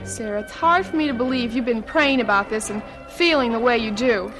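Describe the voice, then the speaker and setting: An earnest older sister, played by a woman, with a high voice. She coaxes and reproaches in radio drama style, with some music in the recording. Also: fairly quick, a bit bright, lightly acted. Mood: reproachful. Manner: reproachful, coaxing.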